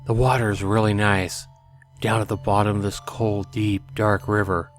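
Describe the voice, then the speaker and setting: A man's voice: lowish voice, flat delivery, unhurried, soft background music, lightly acted. A man voicing a sinister, coaxing boy's reflection in a visual novel game, speaking from under a river. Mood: eerie, coaxing.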